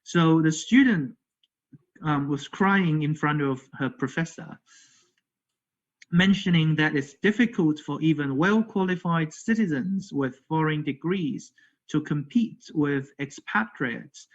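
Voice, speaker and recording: The slightly high voice of a male academic, speaking haltingly at an online conference, heard through a muffled computer connection. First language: not English. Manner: halting, repeats words, checks with "okay" and "right". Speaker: academic presenter, language educator. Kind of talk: conference lecture. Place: virtual conference call. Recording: dull, lo-fi online audio.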